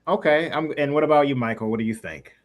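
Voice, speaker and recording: A man's voice: mid-range voice, fast talker, slight background noise. A man hosting a casual interview, rambling his way around to each question. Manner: rambling, circles around questions before landing them. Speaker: interview host.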